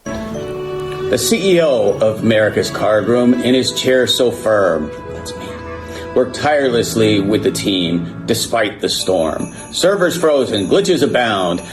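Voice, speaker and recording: A man's voice: on the low side, lively intonation, slow pace, rough recording. A man reciting a rhyming Christmas poem as a scripted message in a played video clip. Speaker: company executive. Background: loud guitar music.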